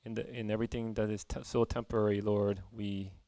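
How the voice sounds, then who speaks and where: A man welcoming a church service, reading a psalm and praying in a measured, reverent way. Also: fairly low pitch, little pitch movement, dark tone, dry recording. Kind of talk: call to worship reading and prayer.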